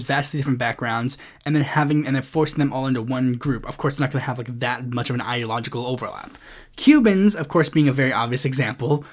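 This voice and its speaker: A man hosting a casual solo political commentary show, rambling with lots of filler words and blunt, profane asides. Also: fairly high pitch.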